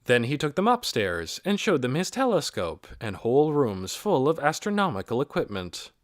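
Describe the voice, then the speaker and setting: A man reading a story aloud as an audiobook narrator, in an expressive, measured way. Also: medium pitch, dry recording, clean studio sound. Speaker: audiobook reader.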